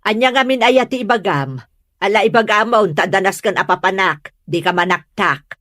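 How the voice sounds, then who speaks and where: A woman's voice: medium pitch, expressive, moderate pace, fairly bright tone, dry recording, studio quality, lightly acted. A woman playing a scornful, stubborn middle-aged mother-in-law, curt and dismissive, in a comedy audio drama.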